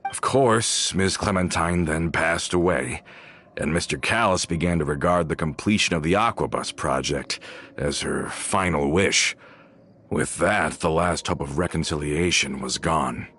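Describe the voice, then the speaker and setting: A man's voice: a bass voice, measured pace, a bit bright, a little hiss or hum. A man acting a polite, reserved character in a video game, with scripted dialogue delivery. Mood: somber.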